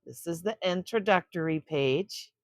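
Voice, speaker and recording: An older woman hosting story time for children, reading a picture book aloud and chatting gently to young listeners in between. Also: fairly low pitch, unhurried, dry recording, studio quality. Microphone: close microphone.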